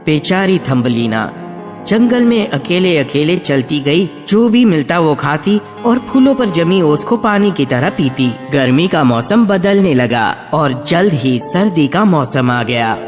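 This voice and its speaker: A man's voice: on the high side, animated, measured pace, long reverb tail, over music. A man narrating a scripted fairy tale for children as a storyteller.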